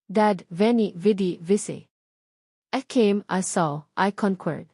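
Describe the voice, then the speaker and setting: A woman's voice: mid-range voice, slow, dark tone, no echo, studio quality. Woman voicing an eager, alert Filipino son. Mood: alert, excited. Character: eager younger son helping his father, alert.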